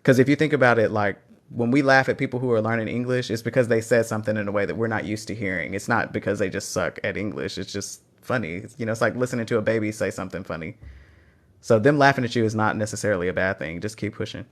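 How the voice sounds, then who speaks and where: A man's voice: lowish voice, quick pace. A man with a Texan accent hosting a live phone stream, reading out chat questions and encouraging language learners. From Texas. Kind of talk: casual livestream Q&A.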